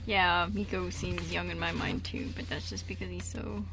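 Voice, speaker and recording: A woman's voice: on the low side, steady tone, normal speed, vocal fry, fairly bright tone. A young woman co-hosting a radio show in a casual, giggly, informal way. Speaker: radio show host and script writer. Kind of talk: casual chat. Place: radio studio.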